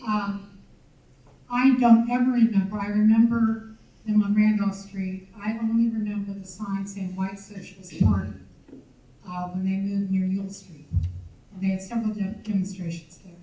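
A woman's voice: mid-range voice, flat delivery, normal speed, dark tone. An older woman in the audience recalling local events in a chatty, rambling way, with many fillers. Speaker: audience member sharing memories. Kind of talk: recollecting at a public meeting. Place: large banquet hall. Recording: echoey hall, distant mic.

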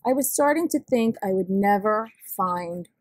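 Animated, slow-paced woman reading a picture book. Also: mid-range voice, no room sound, clean studio sound. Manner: animated, deliberate delivery with dramatic pauses. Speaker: storybook reader. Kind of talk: expressive picture-book read-aloud.

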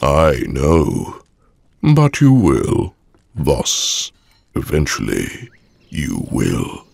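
Old count, imperious, menacing, gravelly bass, slow measured delivery, sci-fi audio drama. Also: male voice, wildly swooping pitch, a little mellow, no room sound, exaggerated voice. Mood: menacing certainty. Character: menacing old villainous count, patient and commanding. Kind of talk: fan audio drama acting.

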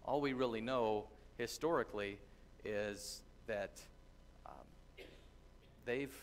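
Middle-aged pastor preaching in a church. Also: male voice, on the low side, a little hiss or hum. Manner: earnest teaching, thoughtful pauses. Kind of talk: sermon with scripture reading. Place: church sanctuary with congregation. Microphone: distant camera, lectern mic.

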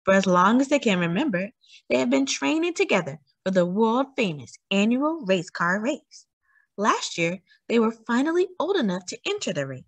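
Lively woman reading a storybook aloud. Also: on the high side, normal speed, no echo. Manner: animated, emphatic storytelling delivery. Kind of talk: expressive read-aloud for children.

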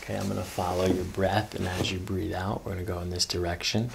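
A man's voice: deep voice, level tone, quick pace, gravelly, noisy background. A middle-aged man working as a chiropractor, giving soothing, instructive directions to a patient during a hands-on exam. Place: small carpeted treatment office.

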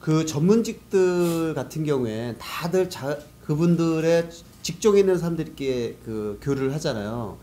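A man's voice: fairly high pitch, expressive, moderate pace, hardly any pauses, mellow. A man giving explanatory, conversational answers as a guest in a business interview. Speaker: guest, business network organizer.

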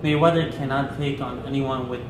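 A man's voice: mid-range voice, brisk. Joking young man, noisy echoey room. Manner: joking, relaxed, opinionated. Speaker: co-host. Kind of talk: casual chat. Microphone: camera a few feet away. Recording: echoey room with clear background noise.

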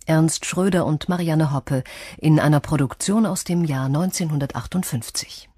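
A female announcer reads a scripted introduction. Her voice is dark and husky, recorded close and dry. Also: low-pitched, normal speed, dry recording.

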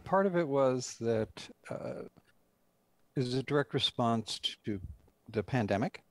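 A man's voice: mid-range voice, expressive, unhurried, dry recording. An older man, a director, giving rambling conversational answers as a guest in a video-call interview. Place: indoor room at home.

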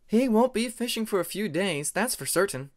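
A man voicing a friendly, gently teasing young female sea dragon in an audiobook, with a light, animated delivery. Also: sits high, moderate pace, pitched above the performer's own voice. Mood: amused.

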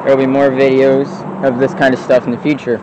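A man talks in an easy, offhand way as he walks around outdoors, rambling from one remark to the next. His voice sits at an everyday middle pitch, caught on a handheld phone with faint road traffic humming behind him. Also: little pitch movement, fast, muffled recording. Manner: offhand, rambling, enthusiastic about old trucks. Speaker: vlogger exploring abandoned vehicles. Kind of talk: casual walk-around narration. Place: outdoors in an overgrown lot beside a road. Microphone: handheld phone camera.

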